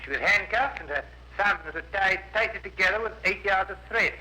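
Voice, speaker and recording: A man's voice: high voice, fairly quick, bright, forceful tone. An actor playing a cocky, provocative male journalist giving dry, combative evidence in a 1950s radio courtroom drama. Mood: assertive. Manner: dry, combative, deferential titles, hesitant fillers. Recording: noisy vintage 1950s radio recording.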